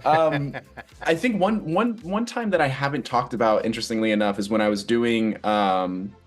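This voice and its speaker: A male stage actor talks casually as an interview guest. He is chatty and joking, rambling through what he says like a storyteller, at an ordinary male pitch. Faint music plays behind him. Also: normal speed. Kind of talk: casual promotional interview chat.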